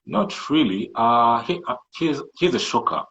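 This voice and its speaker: A man from the Kenyan coast with a Kenyan accent, a native Swahili speaker, talking as a guest in a casual interview in a measured, thoughtful way. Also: mid-range voice, expressive, fairly bright tone, lo-fi sound. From Kenyan coast, Malindi. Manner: measured, thoughtful, frequent restarts and fillers. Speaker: guest entrepreneur.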